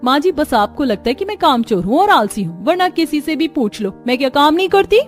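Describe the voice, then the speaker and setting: A woman's voice: high-pitched, sing-song, a little fast, soft background music, voice pushed up. A woman voicing a whiny, excuse-making city daughter-in-law in a cartoon story. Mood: whining, defensive.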